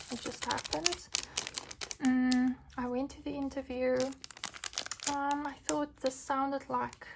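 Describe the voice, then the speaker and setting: A young adult woman from South Africa, husky as she recovers from illness, chatting casually and rambling with fillers while crafting at home. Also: high voice. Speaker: diamond painting vlogger. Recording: home recording with craft crinkling noise. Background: crinkling packets and clicks.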